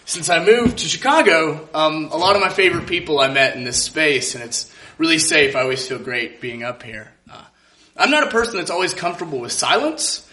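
A man's voice: fairly high pitch, expressive, a little fast, bright, forceful tone. A man telling a personal story on stage in a reflective, hesitant way, in an echoey room. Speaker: live storyteller. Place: live show venue.

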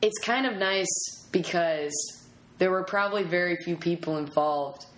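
A woman's voice: fairly low pitch, moderate pace. A woman hosting a casual podcast chat, informal and effusive, thinking aloud as she goes. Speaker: podcast host. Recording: roomy echo, faint background noise.

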